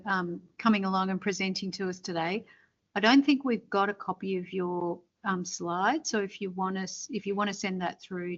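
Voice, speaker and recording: A woman chairing a formal hearing, polite and procedural with occasional fillers. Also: mid-range voice. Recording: lo-fi video call audio.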